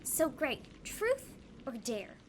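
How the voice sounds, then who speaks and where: A woman's voice: sits high, sing-song, slow, slight background noise, heavily acted. A woman playing a chatty, friendly fifteen-year-old girl patient in an audio drama. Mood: eager, playful. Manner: chatty, eager.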